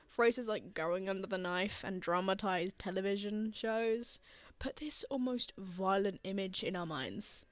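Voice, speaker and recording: A woman playing a gentle, reassuring father who comforts a frightened child in a tender ASMR roleplay. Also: medium pitch, measured pace, dry recording, natural voice. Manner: soothing, tender, earnest.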